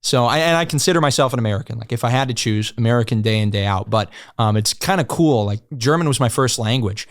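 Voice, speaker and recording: A man's voice: medium pitch, quick pace, few pauses. A young adult man chatting as a podcast guest, joking and laughing between lines. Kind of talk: casual chat.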